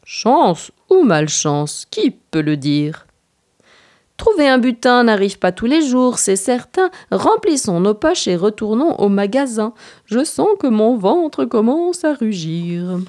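Unflappable philosopher bear from a picture book played by a woman, measured and musing, with expressive swings. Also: a little high. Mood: serene. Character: serene, philosophical male storybook bear, unshakeably calm.

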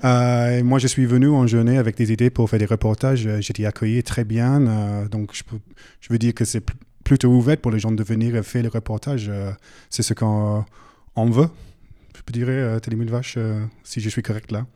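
A man with a foreign accent speaking halting French, chatting casually about making reports as a volunteer. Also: mid-range voice, fairly even intonation, mellow. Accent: foreign, non-native French. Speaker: video volunteer. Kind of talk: casual radio chat.

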